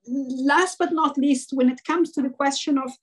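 A woman's voice: high voice, fairly even intonation, normal speed. Afghan woman speaking on a video call. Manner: earnest, hesitant with fillers and restarts. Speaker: panel guest. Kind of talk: online panel discussion. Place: home room with bookshelves.

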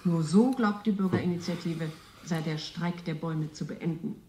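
Newsreader woman acting: lowish, mellow, formal and composed, echoey sound. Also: normal speed, slight background noise, close to an everyday voice. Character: female news anchor, formal and composed. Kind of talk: newsreading within a radio drama.

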